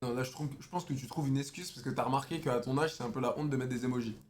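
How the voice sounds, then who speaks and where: A man's voice: mid-range voice, fast talker, a little mellow. A young adult man, a streamer, bantering and mocking a stranger in slangy casual chat.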